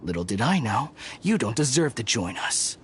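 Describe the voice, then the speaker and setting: An actor playing a stern, condescending, zealous rebel leader in a video game. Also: male voice, mid-range voice, sing-song, normal speed, a little hiss or hum, natural voice. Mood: cold disappointment. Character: scornful, zealous male desert rebel leader. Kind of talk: anime-style video game voice acting.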